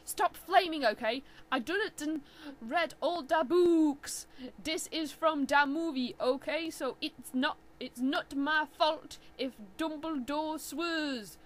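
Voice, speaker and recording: A woman's voice: high voice, slow, voice pushed up. A woman voicing a defensive, petulant teenage fan fiction author who whines and mangles her words in indignant notes to readers.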